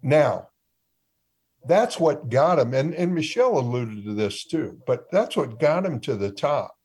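A slow-talking man with a husky voice asks drawn-out, probing questions on a sports talk panel. He is a co-host, talking casually with the others. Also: mid-range voice, sing-song, darkish tone. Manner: poses long probing questions.

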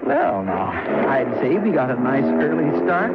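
An actor playing a mild, fussy, anxious car owner in a 1950s radio drama, hesitant and stammering. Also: male voice, medium pitch, big pitch swings, measured pace, creaky. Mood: fussy, uneasy. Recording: muffled, noisy old radio recording.

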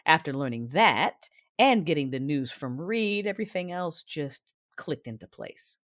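Cool, calculating dark-side woman; lively inflection, dry studio sound, first-person narration. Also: low voice, measured pace, dry recording. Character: cool, shrewd Sith-like woman strategist narrating.

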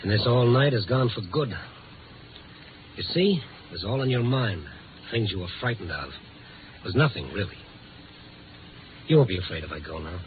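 An American actor playing a tough, wry newspaper reporter in a 1950s radio drama, slangy and protective, sometimes narrating in noir style. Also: male voice, on the low side, animated, moderate pace, long pauses, noisy background, lightly acted. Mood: reassuring, gentle. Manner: hard-boiled, slangy. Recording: tinny old 1950s radio recording, music behind.

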